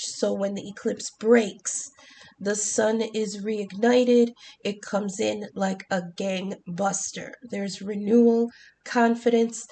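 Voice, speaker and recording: A woman's voice: medium pitch, creaky, a little mellow. A woman with a congested voice giving a chatty tarot and astrology reading, full of ums and restarts, cursing now and then. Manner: swears casually. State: congested from the flu. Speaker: tarot reader.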